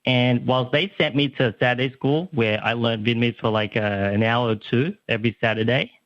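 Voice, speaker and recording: A man's voice: mid-range voice, fairly even intonation, brisk, no room sound. Man, young caller, earnest, stumbling restarts, dry muffled phone sound. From Australia. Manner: earnest, with frequent restarts and fillers. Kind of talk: radio talkback call.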